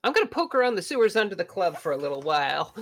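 A woman's voice: fairly low pitch, big pitch swings, very fast. A woman playing a tabletop game, chatting casually and playfully, often breaking into giggles. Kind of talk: casual play talk.

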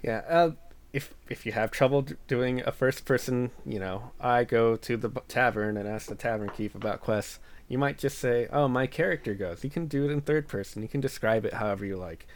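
A man's voice: mid-range voice, fairly quick. A man co-hosting a casual podcast, giving earnest, reassuring advice and often stumbling and restarting. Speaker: podcast co-host.

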